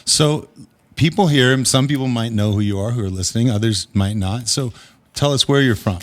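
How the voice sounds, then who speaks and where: A man hosts a relaxed podcast conversation. He sounds curious and encouraging, asking question after question. His voice sits at an ordinary middle pitch for a man. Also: brisk. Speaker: podcast host. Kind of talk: casual interview chat. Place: backstage at an outdoor amphitheater.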